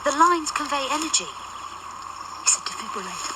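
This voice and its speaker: A woman's voice: high-pitched, expressive, brisk. Exasperated lady agent, dry and sardonic radio drama talk, really noisy sound effects behind her. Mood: hushed, urgent. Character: sardonic, exasperated woman agent on paranormal missions.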